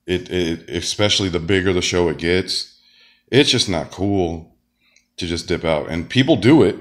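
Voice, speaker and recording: A man talks in a loose, rambling monologue, full of fillers and false starts. His voice sits very low because he is sick. Now and then he coughs between phrases. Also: normal speed, a bit bright. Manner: rambling, many fillers and restarts. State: sick, deep voice. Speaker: wrestler and comedian. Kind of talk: casual monologue.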